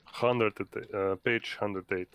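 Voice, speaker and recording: A man's voice: on the low side, steady tone, slow, a bit bright, a little hiss or hum. A man talking casually as a player in a tabletop game.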